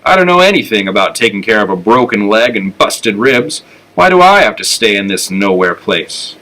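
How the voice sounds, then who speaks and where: Storybook role: disgruntled male crewman, grumbling, lowish natural voice, man reading aloud. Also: big pitch swings, normal speed, pushed and bright, low-quality recording. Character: grumpy complaining male expedition crewman.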